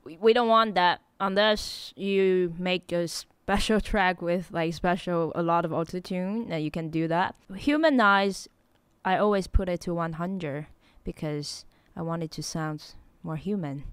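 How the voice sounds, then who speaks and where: Chatty young woman, low voice, faint music. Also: a little slow, smooth, no echo. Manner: chatty and self-deprecating, laughs at herself. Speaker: music producer hosting a tutorial stream. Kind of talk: casual tutorial talk. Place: small home studio with neon lights. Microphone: studio mic on a boom arm close to her mouth.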